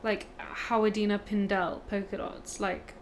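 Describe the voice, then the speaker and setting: A woman chatting as a podcast guest, with a playful, teasing manner and easy laughs. Her voice sits at an ordinary pitch. A light hum of background noise runs beneath her. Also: flat delivery, normal speed. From Punjab, India. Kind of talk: casual chat.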